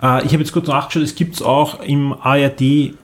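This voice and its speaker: Man, mid-pitched voice, podcast host, casual rambling conversation. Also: fairly even intonation, moderate pace. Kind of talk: casual, rambling chat about audio dramas.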